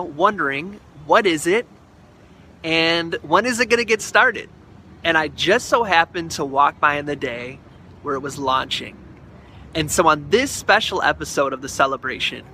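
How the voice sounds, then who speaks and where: Bright, higher-pitched man hosting excitedly. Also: a little hiss or hum. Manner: effusive, excited. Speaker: show host and interviewer. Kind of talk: enthusiastic show introduction and interview. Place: outdoors at an art installation.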